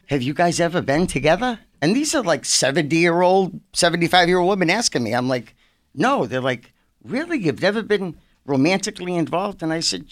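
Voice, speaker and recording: Male radio guest, middle-aged, very lively intonation, casual storyteller. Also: fairly high pitch. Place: radio studio.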